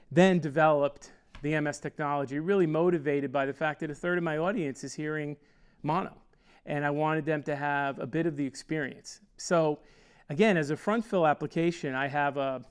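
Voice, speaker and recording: A man in his sixties, an audio designer, explaining a loudspeaker system in a technical, rambling way with fillers, on a lapel mic in a small studio. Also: on the high side, clean tone. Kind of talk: product explainer. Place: small studio with wooden backdrop.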